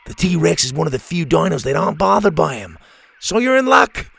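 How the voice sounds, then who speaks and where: A man doing an excitable dino expert, high and eager. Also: brisk, vocal fry, breathy edge, dry recording, full character voice. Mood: reassuring. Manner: enthusiastic, lecturing. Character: excitable adult male dinosaur expert.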